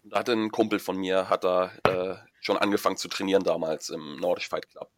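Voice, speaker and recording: A man being interviewed over a remote call, answering casually in halting sentences that often restart. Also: low voice, flat delivery, no echo, clean studio sound. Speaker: interview guest, wrestler.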